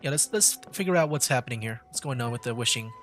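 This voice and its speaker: A man's voice: mid-range voice, expressive, quick pace. A man chatting casually as he commentates on a game for a livestream. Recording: game music in the background.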